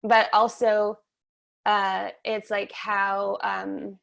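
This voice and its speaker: A woman hosting a webinar, speaking in a slow, measured, reflective way over a video call. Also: medium pitch, little pitch movement, vocal fry, a bit bright. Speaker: webinar host and somatic teacher. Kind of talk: reflective guided conversation.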